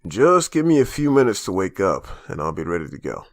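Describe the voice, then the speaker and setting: A man's voice: low voice, very animated, a little fast, no echo. A man voicing a stern, desperate father who leads a group of survivors in a comic dub. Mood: grave, subdued.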